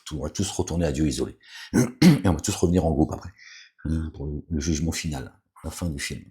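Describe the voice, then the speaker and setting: Very deep-voiced man preaching casually. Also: a little fast, mellow. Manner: rambling, repetitive, frequent fillers and asides to listeners; preachy, admonishing. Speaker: religious commentator reading scripture. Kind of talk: informal spoken sermon with scripture reading.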